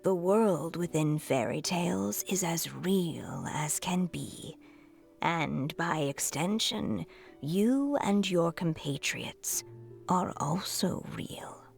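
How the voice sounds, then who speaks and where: A serene goddess of fate in a game. She speaks very slowly in a low, creaky, breathy murmur, solemn and motherly, with music behind her. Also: expressive, a little mellow, heavily acted. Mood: tender, solemn. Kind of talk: scripted game voice acting. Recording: music under the voice.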